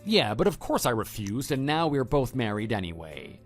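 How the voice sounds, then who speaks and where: A man voicing a stern, principled former schoolteacher in a scripted audio drama, speaking in a measured, matter-of-fact way. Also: medium pitch, very animated, natural voice. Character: stern, principled male former homeroom teacher, calm and reproving. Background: background music.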